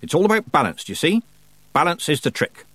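A stern old witch of the countryside, performed by a male narrator, growling and husky. She talks in brusque, folksy phrases that swing up and down with prickly authority. It is a storybook character, recorded close and dry. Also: fairly high pitch, big pitch swings, fast, a bit bright, no echo, pitched above the performer's own voice, heavily acted. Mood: triumphant, instructive. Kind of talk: audiobook character voice.

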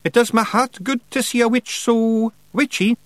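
A man's voice: very high voice, moderate pace, a bit bright, no room sound, voice pushed up. A man voicing a tiny, clever male fairy clansman with a Scottish accent, polite and earnest, in an audiobook. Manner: respectful, careful, earnest. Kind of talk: audiobook character voice.

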